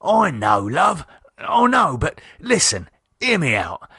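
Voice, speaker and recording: A man voicing an anxious working-class husband, speaking in nervous, halting, pleading bursts. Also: a little high, huge pitch swings, gravelly, sharp tone, husky, dry recording, clean studio sound, voice pushed up, full character voice. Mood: worried.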